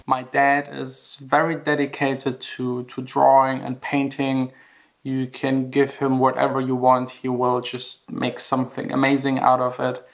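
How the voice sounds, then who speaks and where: Gravelly German man vlogging. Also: mid-range voice, monotone, measured pace. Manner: earnest, reflective, plainly spoken. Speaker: vlogger, house DJ and music producer. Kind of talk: casual personal vlog narration.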